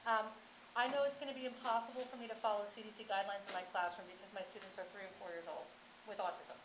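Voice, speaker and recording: A woman's voice: medium pitch, fairly even intonation, fast. A woman in her thirties, a teacher, making a personal public comment at a meeting in a large chamber. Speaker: pre-K special education teacher. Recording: echoey, noisy meeting room.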